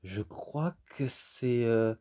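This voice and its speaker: A man who is a native French speaker, giving a casual, unscripted review in a halting way, often searching for words. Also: mid-range voice, dark tone. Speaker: amateur reviewer.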